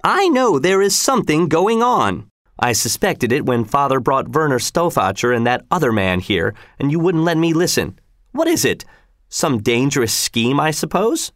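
A man's voice: a little high, big pitch swings, moderate pace, a bit bright, no room sound, studio quality, voice pushed up. A man reading a story voices a worried wife and mother who scolds and frets insistently, in a raised voice. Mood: anxious. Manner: nagging, fretful, insistent. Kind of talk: audiobook character reading.

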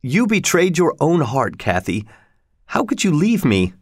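A man's voice: mid-range voice, lively intonation, a little slow, no room sound, clean studio sound, natural voice. A man voicing a tormented, passionate male lover from a storybook, brooding and vengeful, with an intense, anguished delivery.

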